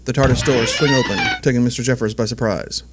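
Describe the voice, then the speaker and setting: A man's voice: mid-range voice, steady tone, fast talker, very noisy. A man giving scripted, present-tense narration as the storyteller of an audio drama.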